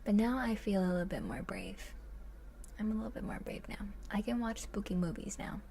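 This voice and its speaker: A woman chatting casually in a soft, breathy voice that often drops to a whisper, in a gentle ASMR livestream style with hesitant musing. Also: mid-range voice, brisk, a little hiss or hum. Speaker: ASMR live streamer. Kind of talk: soft ASMR chat with viewers, much of it whispered.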